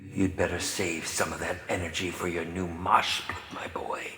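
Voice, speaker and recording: A high, wildly swooping male voice for a flamboyant, sadistic film director villain in a video game. He savors his taunts slowly and theatrically, sliding from a hushed purr to a full shout. The voice rings in a long, echoey reverb. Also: exaggerated voice. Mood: gleeful menace. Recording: echoey reverberant voice over loud music.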